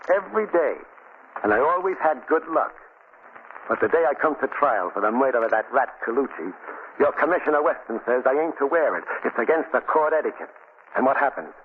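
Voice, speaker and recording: A hissy, narrow 1940s radio recording carries a man acting as a tough American gangster bound for the chair. He is cocky and bent on revenge, with slangy speech. His pitch swings widely as he talks. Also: lightly acted. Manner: slangy tough-guy talk. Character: vengeful condemned male gangster, cocky and superstitious.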